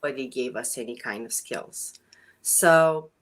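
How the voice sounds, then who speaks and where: Low-voiced Romanian-accented woman. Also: a little slow. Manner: animated, laughs often, earnest. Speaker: guest. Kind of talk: casual interview.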